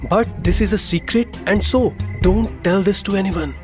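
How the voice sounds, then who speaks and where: An actor voicing a sly, secretive village farmer husband in an animated storybook tale, with theatrical delivery. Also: male voice, high-pitched, normal speed, natural voice. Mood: excited, secretive. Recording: background music under the voice.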